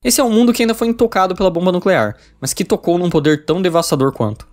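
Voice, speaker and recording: A man's voice: high-pitched, quick pace, faint music behind. A man with a Brazilian accent reading a scripted, informal review in a wry, jokey manner. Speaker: video essay reviewer.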